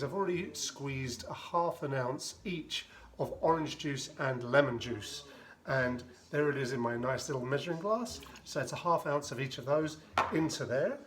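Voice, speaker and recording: A man's voice: fairly high pitch, a little hiss or hum. A man casually explaining how he makes a cocktail at home, chatting as he mixes, with rambling asides and dry humor. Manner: narrates while mixing and shaking drinks. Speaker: home bartender making a cocktail.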